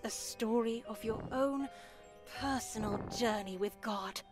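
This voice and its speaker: A woman playing an elderly nun in a game, speaking formally and deliberately with a gently controlling manner. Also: a little high, music in the background, lightly acted. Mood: solemn, instructive. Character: stern, composed elderly nun in charge of a convent. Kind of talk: visual novel voice acting.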